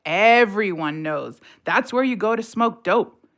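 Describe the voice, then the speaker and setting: A woman's voice: mid-range voice, animated, a little slow, a bit bright. An actress playing a bitter, sardonic widowed mother of three in a naturalistic audio play, blunt and colloquial in her talk. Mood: wry, teasing. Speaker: voice actor in audio drama.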